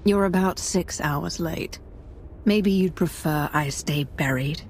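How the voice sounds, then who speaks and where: A woman's voice: low-pitched, slow, darkish tone, a little hiss or hum. A woman playing a cold, ruthless Sith commander in a video game, speaking imperiously and with threat. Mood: icy sarcasm. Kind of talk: dramatic video game dialogue. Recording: game music behind the voice.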